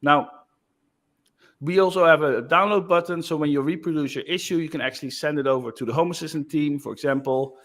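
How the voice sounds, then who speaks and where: A man giving a casual screen-share demo of software, loose and chatty with frequent fillers. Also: a little high, a little fast. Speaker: software developer presenting.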